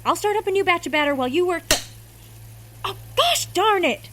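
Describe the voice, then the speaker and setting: An actress playing a brisk, folksy diner cook who scolds and chats in a radio drama. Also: female voice, very high-pitched, expressive, noisy background. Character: bossy, chatty female diner cook.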